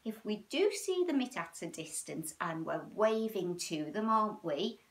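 A British woman in her fifties hosting a children's storytime, talking in an animated, sing-song way with frequent tag questions. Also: mid-range voice, moderate pace. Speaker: children's storytime and yoga host. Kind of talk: chatty, child-directed livestream talk. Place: small room at home, indoors.

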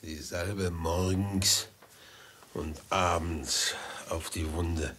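Slow-talking, gleefully fawning hangman. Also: sits low, fairly even intonation, fairly bright tone, a little hiss or hum, exaggerated voice. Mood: grumbling, coaxing. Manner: effusive, cajoling, theatrical. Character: gleeful, chatty hangman fussing over his condemned prisoner. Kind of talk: 1950s German radio play acting.

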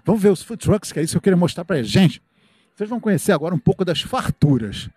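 A middle-aged man with a Brazilian accent chats upbeat and fast on a live stream, strolling through an outdoor fair at night. His voice sits a bit high, and the sound is dry and close, from a handheld mic. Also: big pitch swings, darkish tone, slightly rough, no echo. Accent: Brazilian Portuguese. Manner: chatty, upbeat, reads viewer comments aloud, frequent "tá?" and "né?". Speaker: live-stream host and reporter. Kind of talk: live walking tour commentary. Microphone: handheld microphone.

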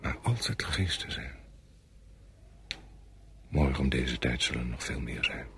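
An actor playing a sombre, doubtful subordinate French army officer in a radio play, deferential yet brooding. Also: male voice, a bass voice, lively intonation, unhurried, vocal fry, pushed and bright, slight background noise, heavily acted. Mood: brooding, ominous. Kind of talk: Dutch radio play acting.

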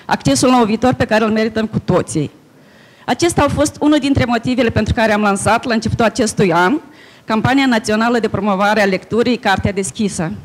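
A middle-aged woman addresses a book fair audience in a measured, earnest oratorical tone. She speaks into a handheld microphone in a conference hall. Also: fairly high pitch. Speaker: official speaker. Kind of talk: formal public speech.

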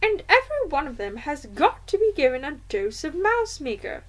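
A woman voicing a brisk, determined elderly grandmother who speaks emphatically in a storybook reading. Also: high-pitched, very animated, pitched above the performer's own voice, rough recording. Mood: conspiratorial, fond. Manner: emphatic, forthright.

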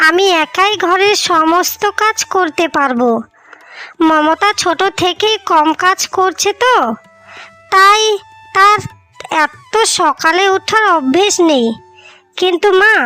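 A woman's voice: very high-pitched, bright, forceful tone, full character voice. A woman voicing a scheming young wife, one of twin sisters, in a cartoon, sweet-talking one moment and curtly rude the next. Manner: sly, sweet-talking, then rude and lazy. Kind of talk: dubbed cartoon voice acting.

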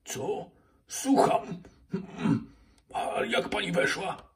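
A man's voice: sits high, lively intonation, drawn-out words, far from the mic, voice pushed up, exaggerated voice. A man voicing a cheerful but wary daddy pig in a children's toy puppet story, speaking hesitantly. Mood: puzzled, wary.

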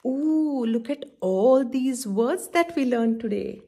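A middle-aged woman hosting a children's story time, reading a picture book aloud in an instructive, expressive way and asking the young listeners questions. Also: high voice, slow pace. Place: indoor room at home, by a window.

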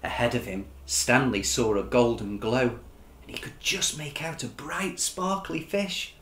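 A man, reading a children's storybook aloud with dramatic, animated expression. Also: mid-range voice, unhurried, reverberant, slight background noise. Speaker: children's author reading his own book. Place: furnished living room, indoors.

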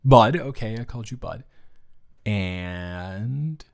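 A man's voice: fairly low pitch, lively intonation, slow, darkish tone. A man improvising a romantic audio roleplay as an awkward, teasing young man talking to his best friend, with a hesitant, stammering delivery. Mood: confused, hesitant. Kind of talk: improvised romantic audio roleplay, intimate one-sided conversation.

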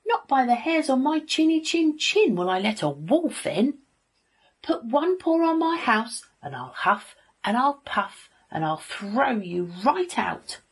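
A woman voicing a rude, lazy young male pig in a children's story, cheeky and defiant, shouting. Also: medium pitch, sing-song, slow, creaky, few pauses, slightly rough, pitched above the performer's own voice, exaggerated voice.

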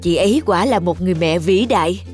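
A woman voicing a calm, earnest male surgeon in a cartoon drama. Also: lowish voice, fast talker, over loud music, close to an everyday voice. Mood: earnest congratulation. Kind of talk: dubbed cartoon melodrama.

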